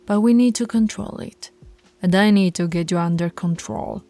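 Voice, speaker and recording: A woman voicing a dominant female alpha werewolf in an intimate roleplay, commanding yet affectionate, with a breathy, husky voice and slow, deliberate delivery. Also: medium pitch, dark tone, faint music behind, close to an everyday voice. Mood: firm, caring. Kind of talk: intimate ASMR roleplay voice acting.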